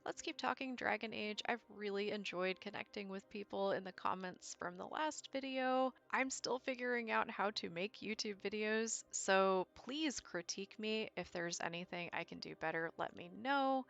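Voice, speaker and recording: A woman's voice: mid-range voice, normal speed, gravelly, hardly any pauses, a bit bright, lo-fi sound. A woman hosting a video, reading scripted lore commentary about a video game. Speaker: video host. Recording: music behind the voice. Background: music.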